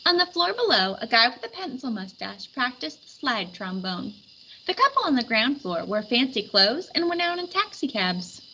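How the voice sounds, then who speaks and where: Woman, storybook reader, expressive read-aloud, high-ish voice, faint hiss. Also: normal speed, dull audio. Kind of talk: children's picture book read-aloud.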